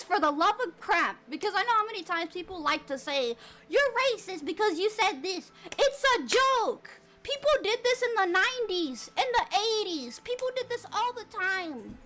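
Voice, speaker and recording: A woman's voice: very high voice, big pitch swings, moderate pace, sharp tone, faint music behind. A woman from Cuba ranting to camera, emphatic and exasperated as she lectures her viewers. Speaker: online video creator in a played clip.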